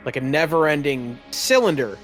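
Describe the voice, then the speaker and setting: A man with a fairly high voice serves as game master. He narrates the tabletop action in a casual, conversational way, with music playing behind him. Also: very animated, normal speed, a bit bright.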